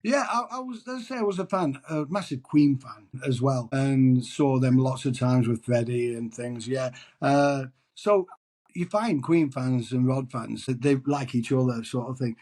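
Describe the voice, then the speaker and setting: A man's voice: medium pitch, normal speed, darkish tone. A middle-aged man from England, chatting in an interview as a tribute singer, self-deprecating and rambling with restarts. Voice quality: husky. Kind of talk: casual interview chat.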